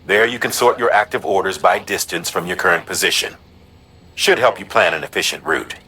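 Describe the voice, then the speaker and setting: An actor playing a businesslike mission support officer, giving matter-of-fact instructions in a video game. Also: male voice, sits low, a little fast, pushed and bright, husky, full character voice.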